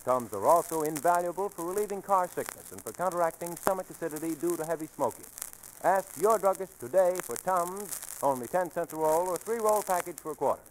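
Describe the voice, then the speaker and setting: A man with an American accent delivers a vintage radio commercial. His voice sits a little high, with emphatic, careful wording. Faint noise from the old 1940s recording runs underneath. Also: lively intonation, brisk, low-quality recording. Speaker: commercial announcer. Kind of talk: 1940s radio advertising read.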